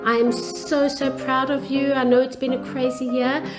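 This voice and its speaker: High-voiced mum over loud music. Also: female voice, flat delivery, moderate pace, rough recording. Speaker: mother. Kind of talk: heartfelt personal message.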